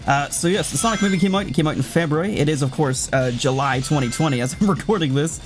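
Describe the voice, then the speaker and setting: A man with a slightly high voice commentates a video game. He rambles casually from one tangent to another and talks to the game as he goes. Game music plays behind him. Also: quick pace. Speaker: let's player commentating a game.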